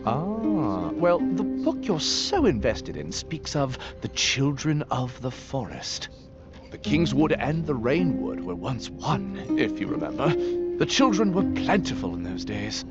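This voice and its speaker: An actor playing a testy, bookish, cider-drinking scholar in a video game, with wordy sardonic speech. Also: male voice, mid-range voice, sing-song, a little slow. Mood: explaining. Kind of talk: video game cutscene acting. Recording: dull muffled audio under clear music.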